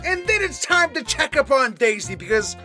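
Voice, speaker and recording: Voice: high voice, sing-song, moderate pace, pushed and bright, faint music behind. A video reviewer giving casual comedic commentary in a slangy way with exaggerated exasperation. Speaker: YouTube movie reviewer.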